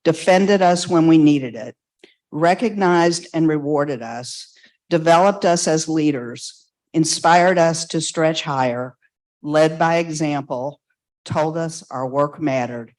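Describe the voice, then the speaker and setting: Slow, measured man paying tribute. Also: a little high, darkish tone. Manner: measured tribute, list-like cadence. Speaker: speaker at a memorial.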